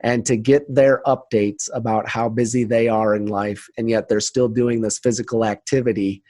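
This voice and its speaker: A man's voice: on the low side, fairly even intonation, moderate pace, a little mellow, dry recording. A man speaking earnestly and motivationally as a guest in a conversational interview. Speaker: podcast guest, leadership trainer.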